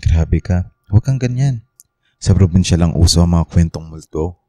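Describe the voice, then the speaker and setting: A man's voice: low voice, lively intonation, normal speed, warm tone, dry recording. A young man playing an uneasy student from the provinces in a Filipino radio drama, hesitant and earnest. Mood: reassuring, uneasy. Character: uneasy young male provincial student who senses ghosts.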